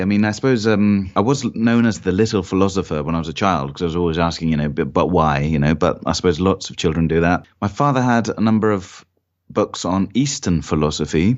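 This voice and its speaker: Low-pitched British man, slightly dull recording. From Cornwall, Britain. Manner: careful, clear pronunciation. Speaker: philosopher, interview guest. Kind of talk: conversational, reflective interview answers.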